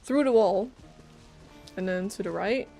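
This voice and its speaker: A woman with a mid-pitched voice giving casual commentary as she streams a game. She is sarcastic and quippy, with faint game music behind her. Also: unhurried, vocal fry, dark tone. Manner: sarcastic, irreverent quips. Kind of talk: casual playthrough commentary, reading and reacting.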